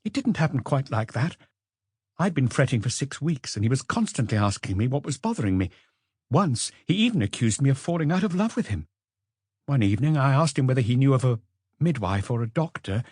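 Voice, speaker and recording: Male narrator as young woman, higher pitch, anxious, hesitant, confiding, audiobook character, dry sound. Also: sing-song, brisk, darkish tone, husky, no room sound.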